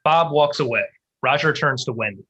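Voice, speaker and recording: A reader delivers screenplay action lines as narration. The voice is mid-pitched, husky and sharp-edged. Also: moderate pace, lo-fi sound. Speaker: stage-direction reader. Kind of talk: scripted narration of screenplay directions.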